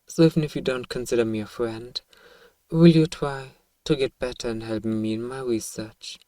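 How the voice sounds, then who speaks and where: A man playing a polite, curious alien researcher in an audio roleplay, speaking in a formal, measured, clinical way. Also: medium pitch, fairly even intonation, warm tone, no echo, distant mic, close to an everyday voice. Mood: earnest, matter-of-fact. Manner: formal, measured, addresses listener as "human". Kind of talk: scripted audio roleplay monologue, ASMR.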